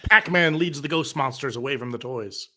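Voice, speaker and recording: Male narrator for a comedic table read, bright, forceful tone, scripted delivery. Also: medium pitch, normal speed.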